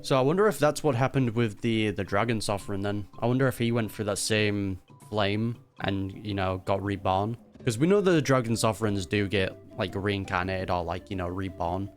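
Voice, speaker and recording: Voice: low-pitched, normal speed, faint music behind. A streamer giving casual, musing, chatty commentary while reacting to a video game.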